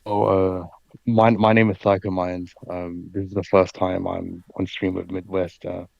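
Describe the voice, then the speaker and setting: A man who is a guest on a casual online chat, talking in a laid-back, halting way over a dull lo-fi mic. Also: low-pitched, flat delivery, gravelly, no room sound.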